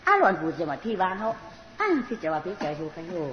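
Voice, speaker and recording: A storyteller telling a comic folk tale in an animated way, often repeating short phrases. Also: mid-range voice, fast talker. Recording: muffled, noisy recording in a reverberant space.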